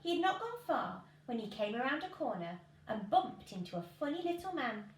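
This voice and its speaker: An English woman tells a children's story in a very animated, fairly high voice. She is recorded at home in a roomy-sounding space with some noise. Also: normal speed. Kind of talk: expressive children's storybook reading. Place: small living room, indoors. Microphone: camera a couple of metres away.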